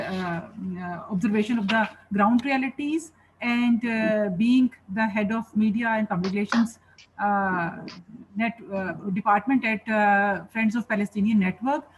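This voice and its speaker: A woman with a fairly high voice moderates an online webinar in formal words. Her delivery is hesitant and halting, with faint noise on the call. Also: low-quality recording.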